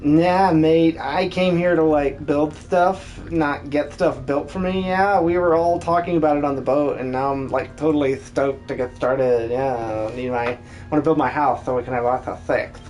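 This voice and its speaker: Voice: mid-range voice, steady tone, normal speed, a little mellow, pitched below the performer's own voice, natural voice. A performer voicing a laid-back young builder with loose, casual surfer slang in a video game dub. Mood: stoked, laid-back.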